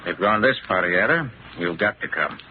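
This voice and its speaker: Shrewd, low-voiced, commanding man in radio noir. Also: animated, a little fast. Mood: menacing. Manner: confident, clipped, insistent. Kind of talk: old-time radio drama acting. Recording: muffled, very noisy old radio recording.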